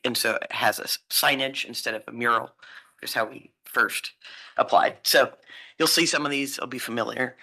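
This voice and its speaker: A man's voice: mid-range voice, vocal fry, sharp tone. A man presenting a signage application to a commission, walking through slides in a casual way.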